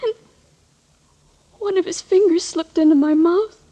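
High-voiced frightened young lady. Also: warm tone. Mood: fearful. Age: young adult. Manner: hesitant, halting. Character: frightened young lady, fragile but brave. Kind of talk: old radio thriller acting.

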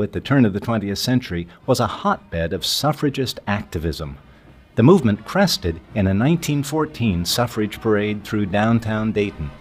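Low-voiced man narrating a documentary. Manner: measured, articulate. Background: music.

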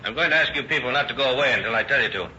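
An actor playing a methodical, authoritative police lieutenant asking probing questions in an old radio drama. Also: male voice, medium pitch, very fast, pushed and bright. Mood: businesslike. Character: brisk, methodical male police lieutenant detective. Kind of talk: 1930s radio drama acting. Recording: dull, hissy old-time radio recording.

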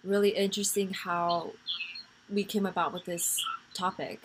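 Podcast host: young woman, soft and rambling, light hiss in the background. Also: fairly low pitch, little pitch movement, normal speed, poor audio. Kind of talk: casual faith chat.

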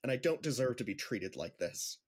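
An actor playing an earnest, self-respecting man in an audio drama, speaking in a measured, husky voice. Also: medium pitch, big pitch swings. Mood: hurt, firm. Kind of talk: scripted audio drama acting.

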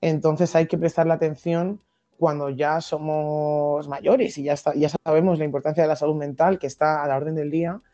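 A young woman from Madrid with a Madrid accent, a podcast guest explaining her ideas thoughtfully, with many pauses and restarts. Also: low voice, steady tone, dark tone, dull audio. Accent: Madrid Spanish. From Madrid, Spain. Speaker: guest activist. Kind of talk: podcast interview.

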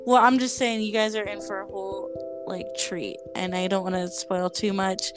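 A woman co-hosting a podcast, chatting casually and informally in an excitable way. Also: mid-range voice, normal speed, music in the background. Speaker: podcast co-host. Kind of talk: casual chat with a friend.

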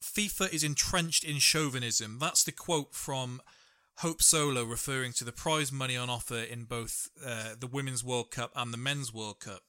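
A man's voice: medium pitch, bright, forceful tone. A man hosting a podcast, reading out odd news stories and telling jokey tales in casual chat. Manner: chatty, jokey storyteller. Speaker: podcast host.

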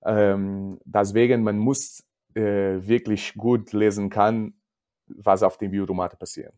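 Slow, low-voiced Portuguese man, mellow tone. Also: fairly even intonation. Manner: halting, searching for words in non-native German, repeats himself. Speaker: judo coach, interview guest. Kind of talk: casual podcast interview.

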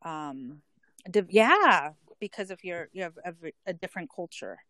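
A woman's voice: lowish voice, lively intonation, normal speed, sharp tone, no echo. A woman in a casual group conversation, speaking with emphatic indignation.

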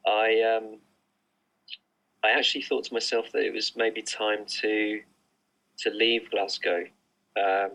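A middle-aged man with a low, bright-edged voice talks about his work in a remote interview. He rambles and hesitates, full of ums and restarts, and keeps his pitch fairly flat. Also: slow, long pauses, low-quality recording. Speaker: artist, interview guest. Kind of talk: casual interview answers. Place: remote online recording.

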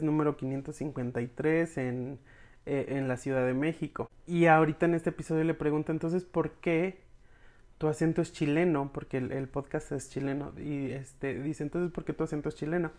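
The speaker has a Mexican accent and a medium-pitched voice. They retell a story informally, as if to a group of friends. The delivery is slangy and expressive, with dialogue casually acted out along the way. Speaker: podcast reviewer recapping episodes for followers. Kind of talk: informal storytelling recap, addressing the audience as friends.

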